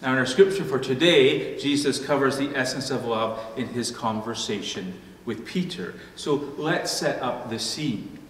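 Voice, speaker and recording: A middle-aged or older man, a pastor, delivering a sermon with measured, deliberate phrasing. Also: mid-range voice. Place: church room at a wooden pulpit. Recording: echoey church hall, distant mic, faint noise.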